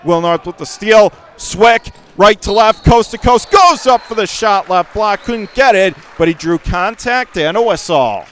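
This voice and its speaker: A man doing live radio play-by-play of a basketball game in punchy short phrases, getting excitable on big plays. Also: high voice, a little slow, few pauses, some chatter behind, slight background noise. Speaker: radio play-by-play sports announcer. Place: indoor school gymnasium during a game.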